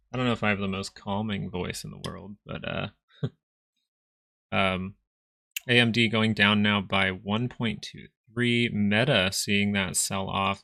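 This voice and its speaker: Gravelly, bright-toned man calling the market. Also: fairly low pitch, no echo. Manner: casual, chart-pointing, conversational asides to viewers. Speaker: stock market livestream host. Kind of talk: casual live market commentary.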